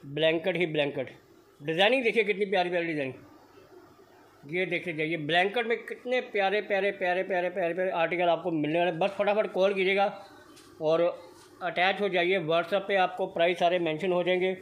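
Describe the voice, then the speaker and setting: A man's voice: sits high, brisk. A man filming a shop walkthrough on his phone, praising the goods in upbeat, repetitive sales patter. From Punjab, India. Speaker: shop video vlogger. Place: indoor blanket shop.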